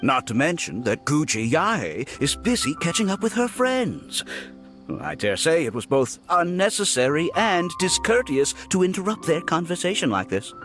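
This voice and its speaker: A suave, wealthy businessman in a video game. He is formal and deferential, with a fairly high male voice that moves expressively through each courteous phrase. Music runs behind him. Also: sing-song, normal speed, a bit bright. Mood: reproving.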